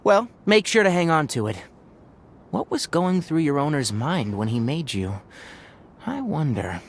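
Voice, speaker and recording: A man's voice: on the high side, big pitch swings, unhurried, a little hiss or hum. A man voicing a young forest healer in a video game, clinical, matter-of-fact and dryly kind, with thoughtful hums. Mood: wry, fond.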